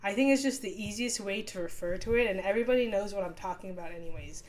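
A woman's voice: mid-range voice, fast, darkish tone. A young woman hosting a casual solo podcast, talking with a sore throat and rambling through many fillers and restarts. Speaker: podcast host.

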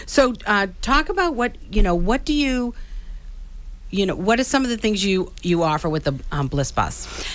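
A woman's voice: mid-range voice, animated, moderate pace, fairly bright tone. A woman hosting a talk show, introducing and interviewing a guest in a conversational style. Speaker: radio talk show host.

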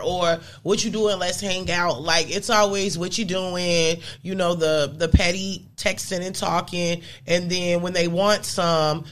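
A woman in her thirties, a podcast guest, talking casually and backing points with quick emphatic agreement. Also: on the low side, fairly bright tone. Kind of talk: casual chat.

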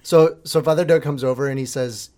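A man's voice: medium pitch, fairly even intonation, a little fast, dark tone. A man co-hosting a podcast, telling a story in a playful, joking way. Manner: playful storyteller, laughs while talking. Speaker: podcast co-host. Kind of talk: casual chat.

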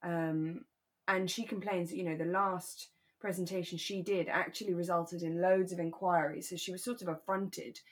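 A British woman with a slightly low voice co-hosts a relaxed podcast. She retells and comments in an expressive, conversational manner, as in an easy chat. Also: normal speed. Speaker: podcast co-host. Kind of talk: relaxed radio drama recap chat.

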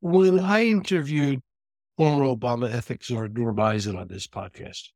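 A man hosting an interview podcast, putting questions deliberately in short, measured phrases. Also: mid-range voice, vocal fry, darkish tone, no room sound, studio quality.

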